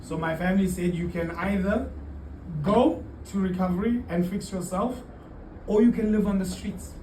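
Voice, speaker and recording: A man's voice: high voice, moderate pace, dark tone. A middle-aged man who works as a teacher, giving casual answers as an interview guest in a roomy, noisy recording from a distant mic. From Zimbabwe-born, raised in the UK and South Africa.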